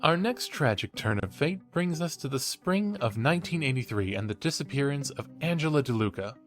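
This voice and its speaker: An actor playing an eager young college true-crime podcaster in a horror audio drama, a teasing, suspenseful storyteller. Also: male voice, medium pitch, expressive, a little fast, soft background music, close to an everyday voice. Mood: storytelling. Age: young adult.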